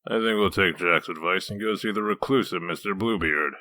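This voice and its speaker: A man playing a gruff, sardonic wolf detective in human form, dry and needling, in a comic dub. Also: low-pitched, a little fast, sharp tone, no echo. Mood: calm, reassuring. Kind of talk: comic dub dramatic acting.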